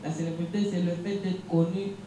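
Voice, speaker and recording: A young man from DR Congo, a musician, giving measured, reflective interview answers with frequent restarts. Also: sits high, flat delivery. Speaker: musician guest. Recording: echoey room from far off, noisy hum.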